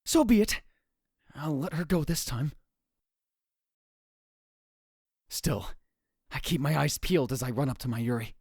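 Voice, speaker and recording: A man's voice: a little high, lively intonation, normal speed, darkish tone, breathy edge, dry recording, studio quality. A man playing a dramatic, paranoid young self-styled mad scientist in a visual novel fan dub, theatrical, brooding and self-important. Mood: smug, urgent. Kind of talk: visual novel fan dub, first-person narration and dialogue.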